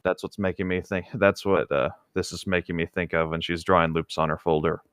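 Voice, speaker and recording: Male co-host, low register, relaxed and slightly halting casual reader with chatty asides, very dry recording. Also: no room sound. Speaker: co-host of a humorous read-aloud commentary.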